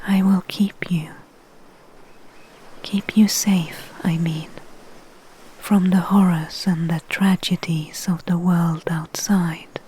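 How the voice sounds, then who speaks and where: A woman playing a calm, confident, possessive enchantress of Greek myth in a soft, breathy, soothing ASMR roleplay. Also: fairly low pitch, full character voice, low-quality recording. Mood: tender, possessive. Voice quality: breathy, whispery. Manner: slow, soothing, deliberate.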